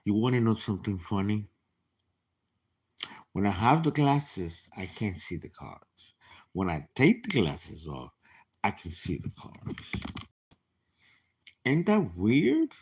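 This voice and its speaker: A man in his late fifties giving a casual tarot reading, chatty and animated, with laughing asides and dropped whispers. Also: on the low side, slow, long pauses, husky. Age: 50s. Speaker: tarot reader.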